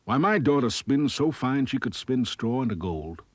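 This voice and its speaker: A man's voice: medium pitch, animated, moderate pace, husky, no echo, voice pushed up. A man voicing a proud, boastful miller father from a fairy tale. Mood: boastful pride. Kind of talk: storybook character voice.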